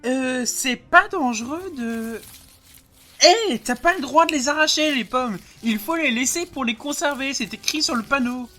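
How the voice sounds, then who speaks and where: A woman's voice: high-pitched, normal speed, bright, forceful tone, faint music behind. A woman playing an anxious, prim little boy who frets and corrects his big brother in an audio drama. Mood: anxious protest. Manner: whiny, correcting, fretful. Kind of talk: French audio drama acting.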